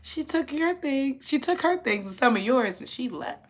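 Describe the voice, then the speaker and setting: A woman quoting a scorned wife in a high, drawn-out, mocking voice. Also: animated.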